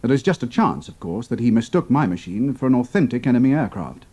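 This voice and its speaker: A young British airman, acted out by a male narrator, composed and formally respectful, with a husky edge and a widely moving, animated pitch. He speaks in clipped, earnest military phrases, as in an adventure audiobook. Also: quick pace, highs cut off. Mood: respectful, aggrieved. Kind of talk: dramatised audiobook character dialogue.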